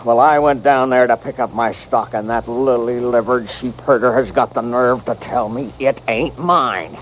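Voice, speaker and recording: An actor playing a hot-tempered, put-upon American saloon keeper in an old-time radio western, blustering in a folksy, exasperated drawl. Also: male voice, medium pitch, fairly even intonation, normal speed, natural voice, rough recording. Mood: aggrieved. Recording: vintage radio recording with hiss.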